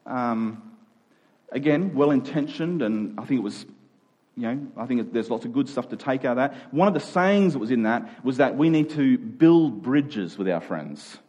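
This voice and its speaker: A male preacher with a somewhat husky voice at an average pitch. He delivers his sermon conversationally, in informal, everyday phrasing, and leans hard on key phrases by repeating them. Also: expressive, normal speed, darkish tone, highs cut off.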